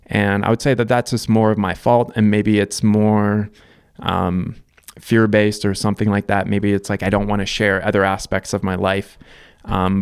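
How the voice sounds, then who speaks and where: Canadian man, 30s, low smooth voice, relaxed reflective storyteller, close dry mic. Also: no room sound. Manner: reflective, loose, lots of fillers and restarts. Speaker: vlogger. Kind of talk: casual life-story monologue.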